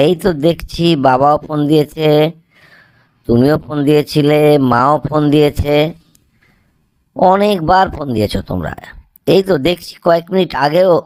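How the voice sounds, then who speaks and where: A man's voice: on the high side, no echo, close to an everyday voice. A man playing a young student son in an amateur cartoon, speaking in a dramatic way. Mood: alarmed. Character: young male student, impatient, later remorseful son.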